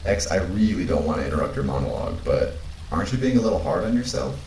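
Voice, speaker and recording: A man playing a calm, frank companion in an audio drama, measured and matter-of-fact, in an echoey space. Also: low-pitched. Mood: gently reasoning. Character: calm, frank male companion who reasons and reassures. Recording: echoey room with faint background noise.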